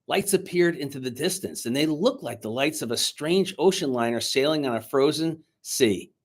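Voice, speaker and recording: A man speaking to schoolchildren as their teacher, reading a storybook aloud in an expressive, dramatic way. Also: fairly high pitch, normal speed. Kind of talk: children's storybook read-aloud and chat to pupils. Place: indoors, recorded remotely on video.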